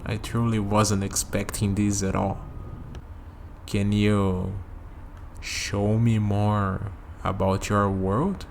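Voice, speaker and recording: A man playing a curious, skeptical young human in an ASMR vampire roleplay, talking hesitantly to the listener and pausing for replies, with steady noise behind him. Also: fairly low pitch, creaky. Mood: dazed, amazed. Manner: hesitant, conversational, leaves pauses for unheard replies.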